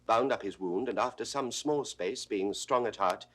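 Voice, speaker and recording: A man playing an earnest, noble young gentleman in 1960s recorded classical theatre, with solemn, declamatory, measured delivery. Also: fairly low pitch, natural voice.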